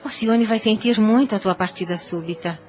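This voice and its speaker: A woman's voice: mid-range voice, moderate pace, a little mellow, lightly acted. An actress playing a courteous, frail middle-aged mother in an audio drama, speaking politely and formally. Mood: regretful. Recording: background ambient noise.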